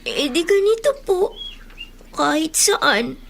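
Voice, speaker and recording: A woman's voice: high voice, sing-song, slow, vocal fry, a bit bright, a little hiss or hum, exaggerated voice. A woman with a Filipino accent playing a hurt, abandoned runaway girl in a radio drama, plaintive and emotional. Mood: sad, resolute. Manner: emotional, plaintive, polite to elders. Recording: music under the voice.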